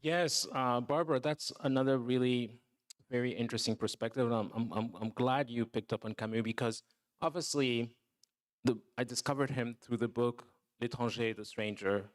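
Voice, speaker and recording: A man's voice: mid-range voice, fairly even intonation, normal speed. A man born in Togo, a film producer and guest on a panel, answering reflectively and often adding "you know" and "right". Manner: thoughtful, repeats words when starting, says "you know" and "right".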